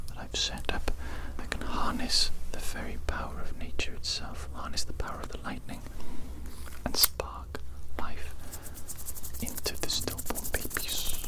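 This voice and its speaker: A shady mad doctor, played by a woman, murmurs in a breathy whisper for an ASMR roleplay. The delivery is formal and deliberate, with phrases gently repeated. It sounds courteous and eerily sympathetic, with an evil undertone. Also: close to an everyday voice, low-quality recording.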